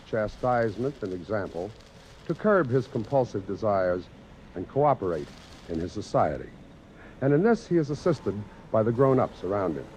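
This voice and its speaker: A man introduces a vintage radio suspense drama as its host. His solemn, scripted delivery moves animatedly around a middling pitch. There is faint noise on the old broadcast. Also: a little mellow, poor audio. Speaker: radio series host and narrator.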